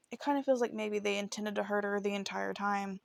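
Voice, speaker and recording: A woman's voice: medium pitch, steady tone, moderate pace, gravelly, dry recording, clean studio sound. A woman hosting a true crime show, telling a case in a chatty, informal, conversational way. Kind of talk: casual true crime narration.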